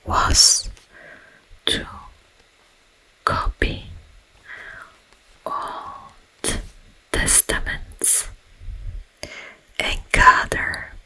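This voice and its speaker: A woman voicing an eerie sleep paralysis demon who was once a tormented monk, telling a story in a breathy ASMR whisper, in short hushed phrases. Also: full character voice, rough recording. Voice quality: breathy, mostly unvoiced whisper. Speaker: ASMR performer.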